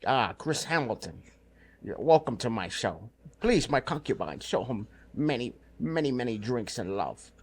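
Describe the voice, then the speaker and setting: A man doing a comic impression of a pompous warlord emperor, speaking slowly and grandly in a low voice. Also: lively intonation. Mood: grandiose.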